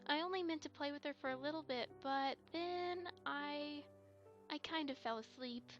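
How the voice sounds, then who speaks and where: Woman as a high-pitched, earnest little pony girl. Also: normal speed, a bit bright, soft background music. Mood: sheepish, earnest. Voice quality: childlike, high. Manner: hesitant, earnest, stammering over confessions. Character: young filly, a pony girl, earnest and shy. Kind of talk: fan audio drama acting. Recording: slightly dull, lo-fi microphone.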